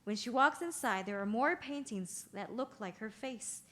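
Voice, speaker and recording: A woman's voice: fairly high pitch, animated, normal speed, a bit bright. A woman reading stage directions as narrator at a play's table read, in scripted narration.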